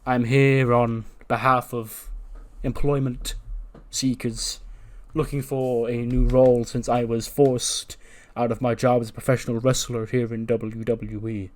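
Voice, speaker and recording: A man voicing a courteous, pompous janitor and former wrestler who speaks in a wordy, formal, deliberate way. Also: mid-range voice, level tone, lightly acted. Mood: formal, dignified. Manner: verbose, overly formal, deliberate.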